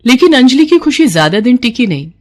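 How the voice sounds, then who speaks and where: A woman's voice: a little high, sing-song, brisk. A woman delivering scripted narration for a moral tale as the storyteller.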